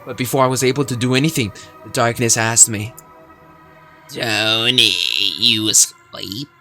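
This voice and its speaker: A man's voice: mid-range voice, fairly even intonation, fast talker, a bit bright, faint music behind. A young man playing a horror visual novel, reading the narration aloud with suspenseful emphasis. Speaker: gaming video creator.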